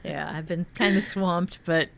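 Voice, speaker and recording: A woman's voice: on the low side, steady tone, unhurried, vocal fry, a crowd in the background. A woman, an author and writing teacher, chatting casually as a podcast co-host. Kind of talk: casual conversational chat.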